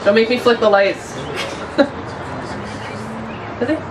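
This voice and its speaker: A woman's voice: on the high side, slow pace. A woman moderator opening the floor to questions, light and joking. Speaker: event moderator. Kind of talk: casual moderating. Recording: distant voice with background noise.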